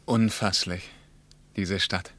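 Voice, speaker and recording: Low-voiced, musing male traveller. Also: steady tone, takes it slowly, a bit bright, no room sound. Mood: awed, insistent. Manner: emphatic, musing, declamatory. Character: awed, reflective German man, a war veteran traveller. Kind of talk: radio play acting.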